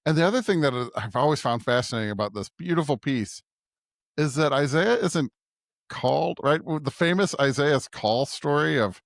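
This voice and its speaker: A man's voice: on the high side, lively intonation, normal speed, vocal fry, dry recording. Man discussing scripture, close dry sound. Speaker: podcast co-host. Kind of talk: scripture reading and conversational commentary.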